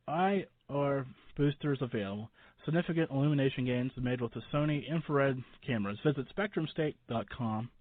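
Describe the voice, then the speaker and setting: A man hosting a radio show in a casual, rambling, informal way with halting starts. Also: medium pitch. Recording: boxy phone-like line with room echo.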